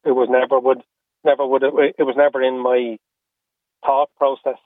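A middle-aged man with an Irish accent speaking candidly and earnestly about personal matters as an interview guest, in a halting way with repeated phrases. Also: mid-range voice, flat delivery, no room sound. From Australia and Ireland. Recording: phone-like, narrow-band radio call.

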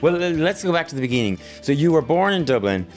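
A man's voice: a little high, sing-song, fast, soft background music. A man interviewing a guest, asking friendly prompting questions in a casual conversation.

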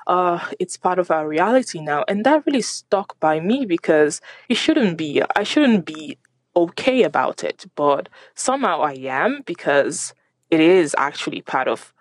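Young woman, French accent, radio guest; mid pitch, halting, filler-heavy, dry close mic. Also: sing-song, no echo. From Nigeria. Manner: halting, many fillers and restarts. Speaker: guest journalist. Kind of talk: radio interview.

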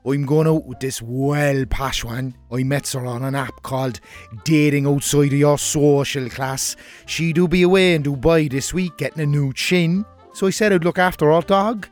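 A man's voice: on the high side, normal speed, soft background music, natural voice. A man playing an indignant working-class lad with a strong Cork accent, telling a blunt, colloquial comic story. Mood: indignant, cheeky. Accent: Irish, working-class Cork. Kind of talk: comic scripted monologue.

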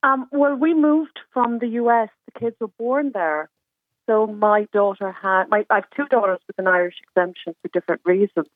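A woman's voice: medium pitch, normal speed, no echo. A woman calling a radio show as a mother, explaining her situation earnestly, with plenty of ums along the way. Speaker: caller, mother. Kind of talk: radio phone-in call. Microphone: telephone. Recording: tinny dry phone line.

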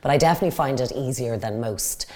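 A woman's voice: extremely low pitch, fast talker, a little mellow. A woman from Dublin with an Irish accent, answering interview questions conversationally as a business guest. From Dublin, Ireland. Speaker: interview guest, company executive.

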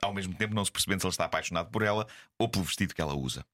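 A man's voice: low voice, racing. A man with a European Portuguese accent hosting a radio comedy segment, giving humorous commentary in a mock-serious, wry manner. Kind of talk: humorous semi-scripted commentary over music. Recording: loud music behind the voice. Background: music.

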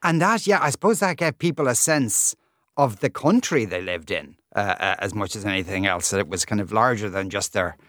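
A man's voice: lowish voice, lively intonation, fairly quick, a bit bright, no room sound, studio quality. A man with an Irish accent hosting a conversational radio interview, often repeating words as he forms his questions.

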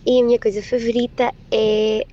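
A woman's voice: on the high side, flat delivery, moderate pace, crowd noise. A woman introducing herself cheerfully in a listener's voice message. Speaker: radio listener leaving a voice message. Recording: dull phone voice message.

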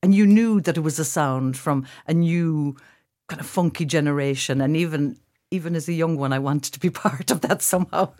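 A woman's voice: deep voice, normal speed, dark tone. A woman from Limerick with a Limerick Irish accent, chatting thoughtfully as a radio guest and often repeating phrases as she searches for words. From Limerick, Ireland. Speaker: actress and director, guest. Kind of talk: casual radio interview, reminiscing.

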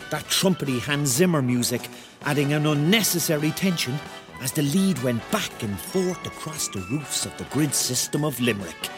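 A man's voice: fairly high pitch, expressive, normal speed, natural voice. An actor playing a Cork man in his thirties, narrating a radio drama in a wry, colloquial way with a Cork Irish accent. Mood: vivid, absorbed. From Cork, Ireland. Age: 30s. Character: thirty-year-old Cork man, wry, dreamy storyteller. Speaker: narrator and lead character. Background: music.

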